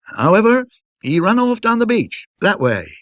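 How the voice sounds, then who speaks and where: A man voicing a chatty, rustic, excitable female servant at a seaside inn in an audiobook ghost story. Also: high-pitched, normal speed, dry recording, lo-fi sound, pitched above the performer's own voice. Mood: flustered, chatty.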